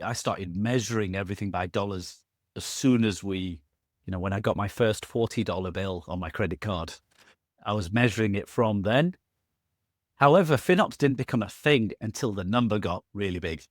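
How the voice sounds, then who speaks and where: Middle-aged man, low-pitched, loose and jokey guest, dry recording. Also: dry recording. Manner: rambling, self-correcting, jokey asides. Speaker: podcast guest. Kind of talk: casual conversational interview.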